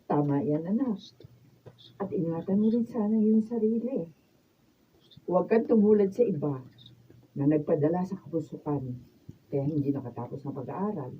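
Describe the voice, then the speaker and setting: A woman's voice: lowish voice, expressive, normal speed, pauses often, lightly acted. A Filipino woman playing a caring, moralizing middle-aged mother in a radio drama. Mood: earnest, motherly. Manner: earnest, admonishing. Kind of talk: Tagalog radio drama acting. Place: radio drama studio, filmed from afar. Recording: distant, muffled, faintly noisy studio sound.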